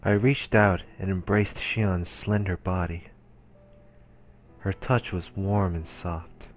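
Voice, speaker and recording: Low-voiced man reading a story aloud. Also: steady tone, slow pace. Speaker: let's play narrator reading game text. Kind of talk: dramatic read-aloud story narration. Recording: background music behind the voice.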